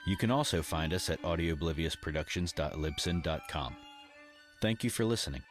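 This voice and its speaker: A man's voice: a bass voice, lively intonation, brisk. A man reading scripted closing credits as an announcer for an audio drama. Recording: violin music behind voice.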